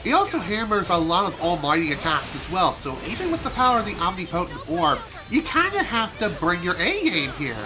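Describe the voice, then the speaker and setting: A man streaming a video game, chatting casually and rambling with self-amused asides, his voice a little hoarse from allergies. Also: high-pitched, huge pitch swings, a little fast, hardly any pauses. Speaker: gaming streamer. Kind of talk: casual let's play commentary. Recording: game music behind voice. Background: game music.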